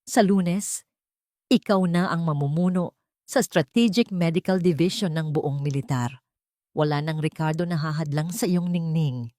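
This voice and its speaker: A proud, authoritative old general read by a woman narrator addresses family with formal weight. The voice is low and dry, set in an audio drama story. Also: measured pace, mellow, no echo. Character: proud, authoritative military general, an older father.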